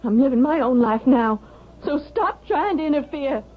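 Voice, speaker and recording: An actress playing an earnest young married daughter in a vintage radio drama, speaking emphatically. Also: female voice, sits high, animated, moderate pace. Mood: defiant. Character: earnest, defiant young married daughter, loyal to her husband. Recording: dull vintage radio recording.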